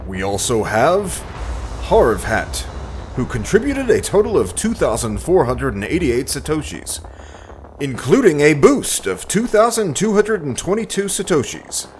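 A man's voice: fairly high pitch, sing-song, a little slow, slight background noise, rough recording. A man presenting a podcast in a scripted, theatrical announcer style, reading out credits and story recaps. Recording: loud music under the voice. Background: music.

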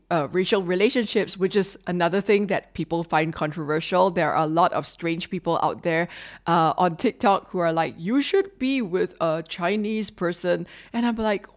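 A woman in her thirties from Singapore with a Singaporean English accent, hosting a candid solo Q&A podcast and giving frank, practical tips, sometimes slipping into colloquial Singlish. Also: lowish voice. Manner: code-switches into colloquial Singlish. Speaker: podcast host.

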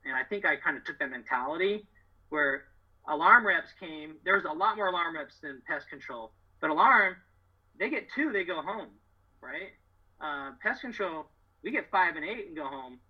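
A middle-aged American man with a husky voice, telling stories as a podcast guest in casual, slangy, emphatic, boastful talk. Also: a little high, animated, normal speed, muffled recording. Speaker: podcast guest, door-to-door solar salesman. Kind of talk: casual, animated storytelling.

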